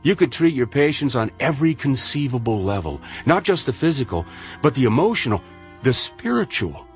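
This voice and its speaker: A man's voice: mid-range voice, very animated, a little fast, faint music behind. An actor playing an earnest male medical colleague with a probing, moralising, sardonic manner in a radio drama. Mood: earnest, pleading.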